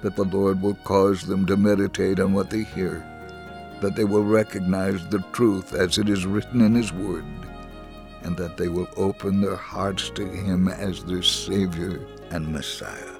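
A man reading a religious first-person story as scripted narration, in an earnest, solemn and measured storytelling manner. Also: sits low, steady tone, vocal fry, music in the background.

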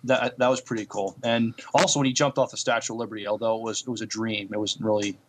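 A man co-hosting a podcast, chatting casually about television in a halting way, repeating words as he thinks. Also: lowish voice, steady tone. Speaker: podcast co-host. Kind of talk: casual review chat about TV shows.

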